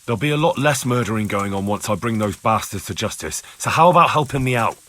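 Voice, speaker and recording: A man's voice: fairly low pitch, expressive, fast, a bit bright. An actor playing a determined young man in a medieval video game, questioning people in an insistent, direct way. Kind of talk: video game cutscene dialogue.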